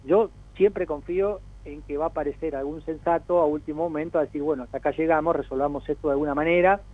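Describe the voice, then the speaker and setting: An Argentine man with a somewhat high voice speaks over a thin, band-limited phone line. He explains world affairs off the cuff as an interview guest, in a discursive way, acting out imagined quotes along the way. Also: steady tone, fast. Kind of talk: spontaneous explanatory commentary. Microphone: telephone call. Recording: narrow tinny phone line.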